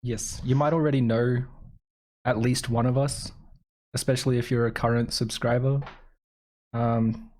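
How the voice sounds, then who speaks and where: Man, soft voice, mid-range pitch, casual chat, gaming podcast host. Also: steady tone, unhurried, pauses often, rough recording. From Australia. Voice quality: soft-spoken.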